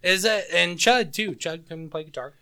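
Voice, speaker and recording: Higher pitched guy with some vocal fry doing a sweary, exasperated podcast banter thing. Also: moderate pace, bright, forceful tone. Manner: profane, exasperated bickering. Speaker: podcast co-host. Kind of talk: casual music podcast banter.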